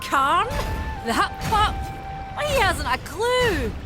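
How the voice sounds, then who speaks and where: An actress playing a stern, dignified elder of a forge people in a video game, speaking in a measured, portentous way. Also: female voice, extremely high pitch, big pitch swings, full character voice. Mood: solemn, dismissive. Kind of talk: video game character acting. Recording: loud game music behind.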